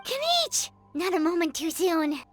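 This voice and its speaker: A woman voicing a small, excitable, chatty fairy-like girl companion in a video game, with a perky, childlike manner. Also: very high voice, normal speed, faint music behind. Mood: excited, sheepish. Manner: perky, refers to self in third person. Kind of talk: video game character acting.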